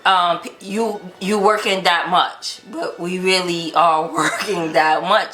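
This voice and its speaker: A woman's voice: on the low side, slow. An American woman telling a story in a casual, chatty way, acting out bits of quoted dialogue as host. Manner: animated retelling with quoted dialogue. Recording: roomy echo, light background noise.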